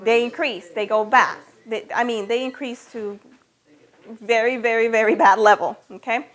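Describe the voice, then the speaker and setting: A woman's voice: on the high side, steady tone, moderate pace. A middle-aged woman from Venezuela with a Spanish accent gives an informal personal talk to a small group, conversationally checking in with listeners. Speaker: natural health practitioner giving a talk.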